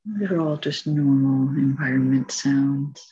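A woman teaching meditation, giving calm, gentle spoken guidance with long pauses between phrases. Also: extremely low pitch, breathy edge, dull audio. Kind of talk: guided meditation, soft spoken instruction.

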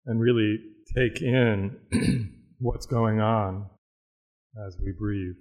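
A man teaching a guided meditation, speaking in a measured, reflective way with long pauses. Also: on the low side, steady tone, darkish tone.

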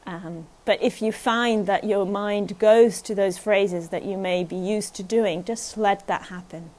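Woman, meditation teacher at a retreat, gentle and unhurried, keeps checking in with the group. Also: mid-range voice, fairly even intonation. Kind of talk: guided meditation talk at a retreat.

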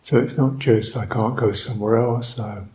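A man guiding a meditation as a Buddhist teacher, speaking reflectively in short, halting phrases with long pauses. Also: medium pitch, steady tone, dull audio. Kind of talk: guided meditation, unscripted and reflective.

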